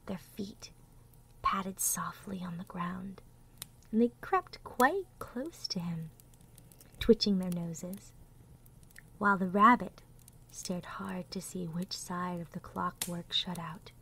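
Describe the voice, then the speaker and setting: A woman narrating a children's storybook expressively, with dramatic delivery that often drops toward a hush. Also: medium pitch, creaky, slight background noise, low-quality recording.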